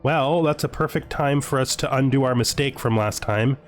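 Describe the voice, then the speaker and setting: A man giving casual video game commentary, thinking aloud as he deliberates his choices. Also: medium pitch. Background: music.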